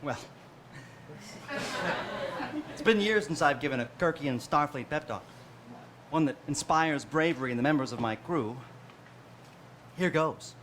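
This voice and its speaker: A woman's voice: low-pitched, lively intonation, measured pace, long pauses, slight background noise. A woman playing an awkward but brave starship captain, half singing a pep talk in a stage musical parody. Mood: reassuring. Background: loud music accompaniment.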